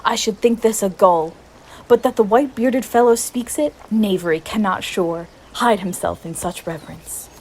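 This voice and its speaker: A woman's voice: mid-range voice, moderate pace, darkish tone, slight background noise, close to an everyday voice, rough recording. A woman acting a sardonic, grumbling male soldier in an amateur Shakespearean radio play. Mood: astonished.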